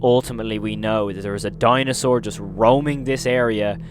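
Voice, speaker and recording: A man's voice: fairly low pitch, steady tone, brisk, noisy background. A man hosting a casual recap commentary, telling the story emphatically and leaning on intensifiers. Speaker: video host and narrator.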